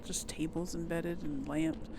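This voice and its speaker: A woman's voice: sits low, fairly even intonation, measured pace, creaky, background noise. A woman giving casual gameplay commentary as a let's play host, musing aloud to herself. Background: video game music.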